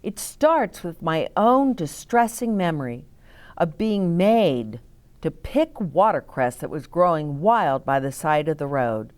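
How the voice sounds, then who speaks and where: A woman reading picture books aloud to children as a storytime host, in a deliberate, explaining way. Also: low voice, sing-song, darkish tone. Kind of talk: expressive read-aloud for children with asides to listeners.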